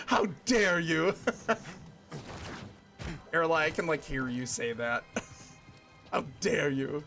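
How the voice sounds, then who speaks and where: A man's voice: on the high side, expressive, fairly bright tone, faint music behind. Chatty fortysomething male streamer. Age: 40s. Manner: chatty, rambling, quick to laugh. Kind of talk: casual live-stream chat while gaming.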